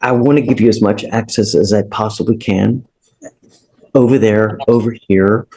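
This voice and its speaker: An American man speaking as a judge in a courtroom discussion, plain-spoken and firm, often halting and repeating himself. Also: lowish voice, steady tone, mellow, low-quality recording.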